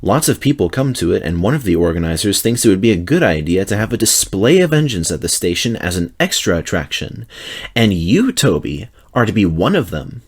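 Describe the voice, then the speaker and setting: Man playing a good-humoured, lively engine driver. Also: low-pitched, fairly quick, breathy edge, full character voice. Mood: explaining. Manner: reassuring, jovial. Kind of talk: storybook character voice.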